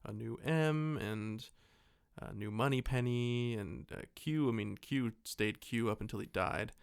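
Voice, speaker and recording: A man's voice: medium pitch, unhurried, creaky, smooth. A man hosting a podcast, chatting informally and casually about films and shows. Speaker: podcast host.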